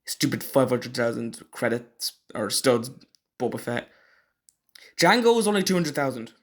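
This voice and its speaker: A man's voice: mid-range voice, animated, moderate pace. A man hosting a casual ranking commentary in a hesitant, rambling, opinionated way. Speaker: video host ranking game voice actors.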